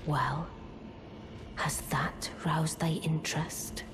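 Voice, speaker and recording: A woman's voice: sits low, steady tone, slow, creaky, in a whisper, heavily acted. An actress playing an aloof, imperious witch in a video game, speaking in stately archaic English. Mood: aloof, composed. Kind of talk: scripted video game character dialogue. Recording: loud music behind the voice. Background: music.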